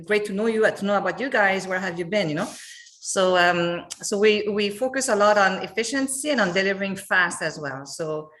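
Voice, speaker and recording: A woman's voice: fairly low pitch, fairly bright tone. Woman, forties, easygoing panel chat, laughs a lot. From Montreal, Canada. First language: French. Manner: laughing, many fillers and restarts. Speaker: company executive guest. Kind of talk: casual panel discussion.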